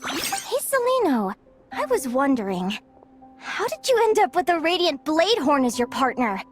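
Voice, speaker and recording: A gentle, high-voiced younger sister. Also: female voice, big pitch swings, normal speed, over music. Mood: curious. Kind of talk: video game voice acting.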